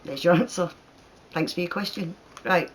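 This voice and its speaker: Host in their 30s with a mixed northern/southern English accent, rambling, chatty, quick to laugh. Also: medium pitch, a little hiss or hum. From northern England. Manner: chatty and rambling, laughs often, lots of fillers. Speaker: channel host answering viewer questions. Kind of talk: casual Q&A chat.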